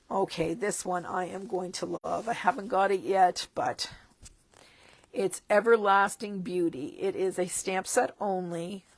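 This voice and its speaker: Slow-talking crafter woman losing her voice. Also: mid-range voice, dry recording. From Canada. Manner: chatty, chuckling asides. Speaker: stamping demonstrator. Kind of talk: casual catalog walkthrough. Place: tabletop craft desk indoors.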